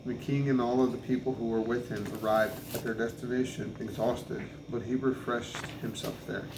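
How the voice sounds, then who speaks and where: Man reading scripture aloud, flat and echoey. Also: mid-range voice. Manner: halting, stumbles over names and restarts. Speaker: Bible reader. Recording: echoey room with clear background noise.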